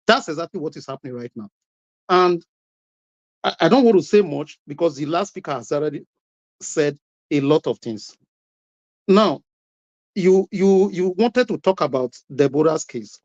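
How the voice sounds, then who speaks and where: A man's voice: fairly high pitch, lively intonation, moderate pace, long pauses, darkish tone. A man with a Nigerian accent arguing on a call-in broadcast, firing rhetorical questions and repeating words as he presses each point. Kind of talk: heated debate contribution. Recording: lo-fi online call audio.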